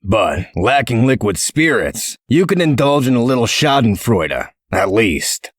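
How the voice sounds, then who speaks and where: An actor playing a gruff, blunt, sardonic and protective man in a comic dub. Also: medium pitch, sing-song, a little slow, dry recording, clean studio sound. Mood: wry, steady.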